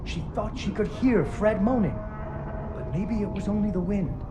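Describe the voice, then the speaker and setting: A woman's voice: medium pitch, animated, normal speed, lightly acted. A woman voicing a little girl telling a puppet story. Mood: storybook telling. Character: young girl narrating a puppet-show story. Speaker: in-game narrator. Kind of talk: storybook narration. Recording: game audio with music behind.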